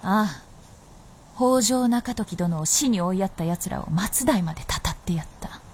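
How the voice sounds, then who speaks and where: A woman's voice: medium pitch, expressive, moderate pace, a little mellow, slight background noise, close to an everyday voice. A woman acting as a proud, vengeful and teasing fox princess in a radio drama, speaking in an archaic, imperious way. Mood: vengeful, weary. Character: proud old-fashioned vixen spirit princess, vengeful and teasing.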